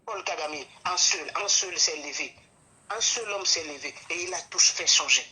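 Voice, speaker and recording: A man's voice: on the high side, moderate pace, pushed and bright. A man with a Gabonese accent in an impassioned political discussion, emphatic and indignant, repeating his points for weight.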